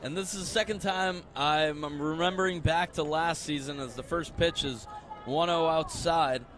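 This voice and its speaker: A man's voice: fairly high pitch, steady tone, normal speed, a bit bright. A young American man doing live radio play-by-play, conversational, stretching words on the big calls. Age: young adult. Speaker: play-by-play sports announcer.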